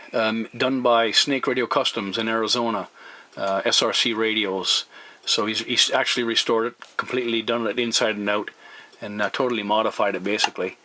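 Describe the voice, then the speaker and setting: A man chatting casually as a CB radio operator, explaining things in a halting way with radio sign-offs. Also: lowish voice, steady tone, pushed and bright. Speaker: amateur CB radio operator and video host.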